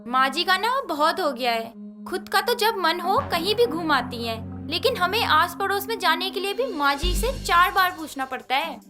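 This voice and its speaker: A woman voicing a whiny, doubting young daughter-in-law who complains warily in an animated moral story. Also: very high-pitched, moderate pace. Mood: complaining, wary. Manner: complaining, wary. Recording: music behind the voice.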